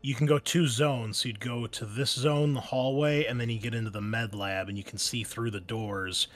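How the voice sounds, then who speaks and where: A man's voice: mid-range voice, steady tone, normal speed. A man acting as game master, narrating scenes and explaining rules in a tabletop roleplaying game. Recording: background music under the voice. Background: music.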